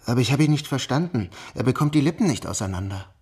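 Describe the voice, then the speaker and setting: A man's voice: medium pitch, animated, quick pace, a little mellow, husky. Man playing an anxious pleading son. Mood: anxious, helpless. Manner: pleading, hesitant. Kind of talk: audio drama acting.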